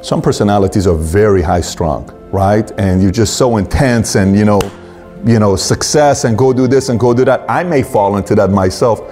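Low-voiced man interviewing with a mellow tone. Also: soft background music. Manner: halting, repeats words while forming questions. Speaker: podcast host and interviewer. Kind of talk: conversational interview.

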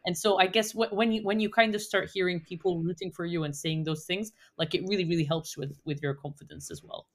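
A woman talking casually as a podcast guest, lively and chatty with plenty of fillers. Her voice sits somewhat low for a woman. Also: fairly quick. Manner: animated, chatty, many fillers like "like" and "you know".

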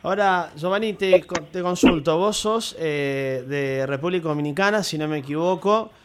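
A man interviewing a guest in a measured way, with drawn-out hesitations. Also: a little high, heavy background noise. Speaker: interviewer, radio host. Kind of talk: radio interview.

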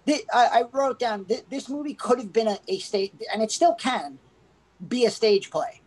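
A woman's voice: a little high, normal speed, a little mellow, poor audio. A woman co-hosting a casual movie review, talking hesitantly with many restarts. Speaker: podcast co-host.

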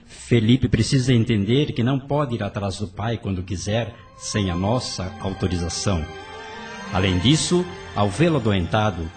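An actor with a Brazilian accent playing a wise, firm spirit doctor in a radio soap, didactic and authoritative. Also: male voice, fairly low pitch, expressive, moderate pace, darkish tone, faint music behind, natural voice. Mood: firm, instructive. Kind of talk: radio soap opera acting.

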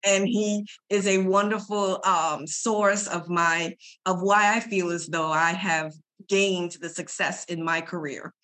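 A woman's voice: on the low side, a bit bright. A woman in her forties from Trinidad and Tobago, speaking conversationally as an interview guest. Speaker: podcast guest, HR consultant and coach. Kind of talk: conversational interview, introducing herself.

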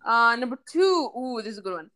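A woman's voice: a little high, sing-song, fairly quick, pushed and bright. A young woman co-hosting a podcast, chatting casually and hesitantly with many fillers. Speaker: podcast co-host. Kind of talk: casual chat.

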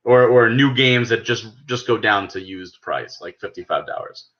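A man's voice: fairly low pitch, moderate pace, fairly bright tone, highs cut off. A man with an American accent talking casually and persuasively about things as a podcast host, emphatic and explanatory. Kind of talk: casual gaming podcast discussion.

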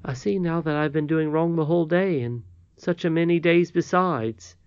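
A man voicing an earnest, contrite and excitable boy in a storybook, speaking with polite emphasis. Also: fairly high pitch, normal speed, clear, resonant tone, dry recording, dull audio, pitched above the performer's own voice, natural voice. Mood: remorseful. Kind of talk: storybook character reading.

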